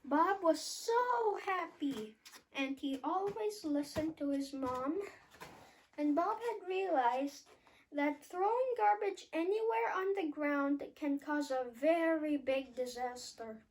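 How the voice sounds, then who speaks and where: High-voiced child narrating a puppet story. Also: animated, slow pace, poor audio. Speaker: puppet show presenter and narrator.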